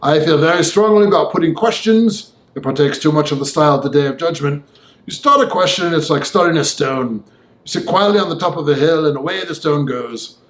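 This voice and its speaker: A man plays an opinionated young Victorian gentleman from a storybook. The voice sits a little high and rambles formally with firm emphasis. The recording has a clear room echo. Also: brisk, close to an everyday voice. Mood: emphatic, principled. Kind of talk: audiobook character voice.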